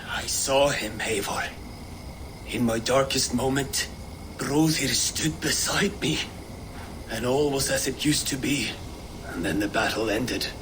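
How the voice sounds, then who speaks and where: An actor playing a mournful Viking warrior honoring a fallen friend in a game, slow and solemn. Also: male voice, mid-range voice, background noise, lightly acted.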